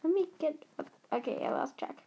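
A woman's voice: sits high, a little fast, warm tone, dull audio, a little hiss or hum. A woman co-player chatting in improvised roleplay during a survival video game. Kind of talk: improvised roleplay chat while playing.

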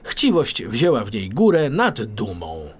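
A man narrating a children's audiobook in an expressive, scripted storytelling style. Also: lowish voice, a little slow, breathy edge.

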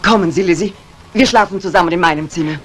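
An actress playing a brisk, dry-witted, commanding mistress of the house in a 1950s German radio mystery. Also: female voice, on the low side, natural voice. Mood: brisk, wary. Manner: composed, commanding, wry. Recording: noisy old 1950s radio recording.

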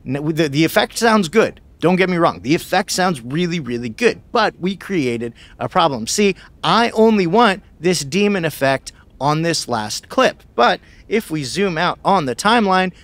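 Dude in his 30s doing a casual tutorial, chatty, kinda high voice, dry close mic. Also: fairly bright tone, dry recording. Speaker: video tutorial host. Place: small home studio. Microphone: close broadcast mic on boom arm.

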